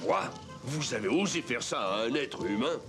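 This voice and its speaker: An actor playing a pompous, skeptical male physician in a cartoon, easily scandalized, with a creaky voice. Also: medium pitch, animated, normal speed, close to an everyday voice. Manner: pompous, indignant. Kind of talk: animated cartoon dialogue, French dub. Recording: music behind the voice.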